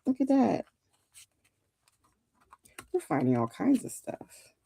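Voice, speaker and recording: A woman's voice: on the high side, takes it slowly, creaky, husky, dry recording. A woman co-hosting a scrapbooking livestream in casual, joking chat, sometimes trailing off into murmurs as she works. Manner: lively, joking, trails off into murmurs while working. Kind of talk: casual chat while crafting.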